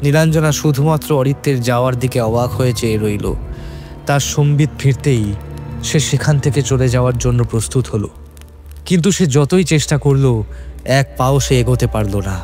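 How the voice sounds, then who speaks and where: A man's voice: medium pitch, normal speed. A man reading a scripted horror story as its narrator. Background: background music.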